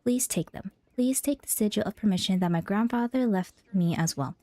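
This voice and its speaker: A woman's voice: mid-range voice, a little fast, dark tone, no room sound. A woman game streamer giving casual playthrough commentary and reading game text aloud. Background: game music.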